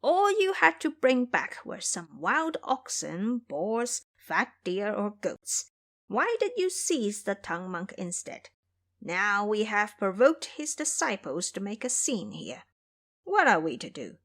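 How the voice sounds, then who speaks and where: Woman playing an imperious monster king, expressive delivery. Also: medium pitch, slow pace, full character voice. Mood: displeased. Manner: imperious, questioning. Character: yellow wind monster king, lordly male cave master, displeased.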